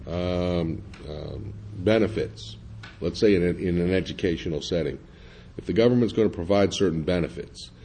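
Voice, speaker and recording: A man's voice: low voice, dark tone. A man speaking as a panelist at a public forum, hesitant with many fillers and restarts.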